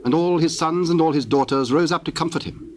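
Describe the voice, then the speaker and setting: A man's voice: on the high side, fairly quick, a little mellow. A man narrating a dramatized scripture reading in a solemn, storytelling style. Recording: hissy old cassette tape, birdsong behind.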